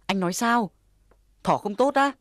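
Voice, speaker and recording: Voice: medium pitch, expressive, moderate pace, fairly bright tone, no room sound, clean studio sound, close to an everyday voice. An actor playing a hardworking, earnest, easily offended hedgehog in a children's cartoon. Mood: confused, uneasy. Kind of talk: Vietnamese children's cartoon acting.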